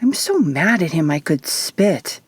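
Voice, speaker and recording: A woman's voice: lowish voice, big pitch swings, measured pace, slightly rough, no room sound, full character voice. Mischievous young hybrid girl played by a female narrator, impulsive, eccentric, for fantasy audiobook. Dry, close sound. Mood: hurt, indignant.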